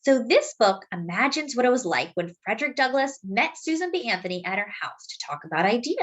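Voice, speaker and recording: A woman reads a picture book aloud expressively, explaining things simply and deliberately as if to young children. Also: a little high, sing-song. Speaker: children's storybook reader.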